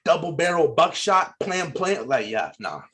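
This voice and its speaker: Husky-voiced man in casual banter. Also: a little high, very animated, normal speed, a bit bright. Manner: slangy, joking, playfully nitpicky. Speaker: podcast co-host. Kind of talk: casual banter reviewing a movie.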